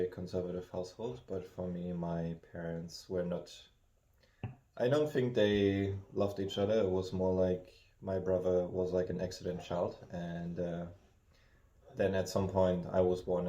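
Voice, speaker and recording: A very deep, mellow-toned man, chatting as a co-host. He speaks haltingly and thoughtfully, often restarting his sentences. The room around him is noticeably echoey. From Germany. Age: 30s. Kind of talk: casual chat. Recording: roomy echo.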